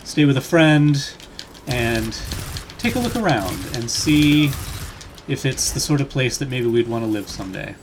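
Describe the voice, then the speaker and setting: A man's voice: mid-range voice, expressive, measured pace. A man chatting casually while playing a video game on a home livestream, laughing often and reacting to the action. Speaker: gaming streamer and father. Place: home room. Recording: noisy home stream audio.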